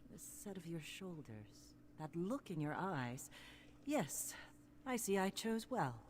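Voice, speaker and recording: A woman voicing a commanding, perceptive mentor in a video game, speaking in a formal, deliberate and imperious way. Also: lowish voice, big pitch swings. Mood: appraising, satisfied. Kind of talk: video game character acting. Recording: faint background noise and game ambience.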